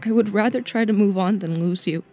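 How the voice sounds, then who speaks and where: A woman voices a reserved teenage cat girl weighed down by guilt. The voice is mellow and creaky, with a subdued, pleading delivery for an audiobook, over faint music. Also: mid-range voice, brisk, close to an everyday voice. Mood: ashamed, pleading. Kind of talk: audiobook fan fiction dialogue.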